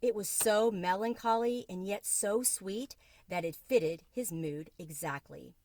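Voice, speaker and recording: A woman's voice: mid-range voice, unhurried, darkish tone. A woman reading a children's book aloud as a storyteller.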